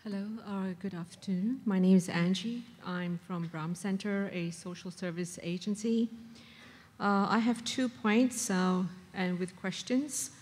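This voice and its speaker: A woman's voice: mid-range voice, steady tone, a little slow, a little mellow. A middle-aged woman making prepared, earnest points from the floor at a conference. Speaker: audience questioner, social service worker. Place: large conference ballroom. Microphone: standing floor microphone. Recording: long hall reverb from conference PA.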